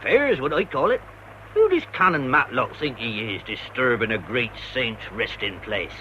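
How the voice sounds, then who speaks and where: An actor playing a blunt, grumbling English working-class senior verger in a British radio drama, speaking in clipped sentences. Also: male voice, medium pitch, big pitch swings, moderate pace, dull audio, noisy background, natural voice. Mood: blunt, disapproving.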